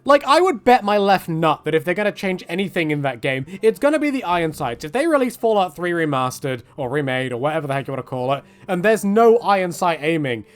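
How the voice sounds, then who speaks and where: A man giving casual, rambling video game commentary in an animated way, with jokey exaggeration. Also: a little high.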